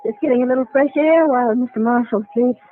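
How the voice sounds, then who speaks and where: A woman's voice: a little high, fast, lightly acted. Woman playing anxious sweetheart, tinny old radio. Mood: worried. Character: anxious, conscience-stricken young woman nurse, schemer's sweetheart. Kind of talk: 1940s radio drama acting. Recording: tinny band-limited vintage radio recording.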